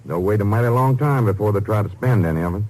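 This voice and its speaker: An American actor playing a plain-spoken, folksy small-town sheriff in a 1950s radio drama. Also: male voice, lowish voice, fast talker, a little mellow, close to an everyday voice. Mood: doubtful. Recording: noisy old 1950s radio recording.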